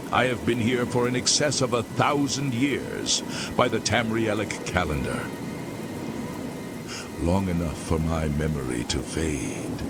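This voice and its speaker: Gravelly old damned priest, super slow, voice swoops way up and down, heavy rain behind. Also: male voice, fairly low pitch, very noisy, full character voice. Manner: slow, solemn, drawn-out delivery. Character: ancient damned former priest, weary and lost. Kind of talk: game character dialogue. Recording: loud rain and thunder behind.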